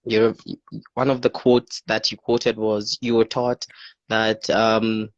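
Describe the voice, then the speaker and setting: Chatty host on a dull, dry line. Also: male voice, fairly low pitch, flat delivery, slow, no room sound. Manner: chatty, laughs often, many backchannel "mm-hmm"s. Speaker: interview host. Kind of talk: casual interview chat.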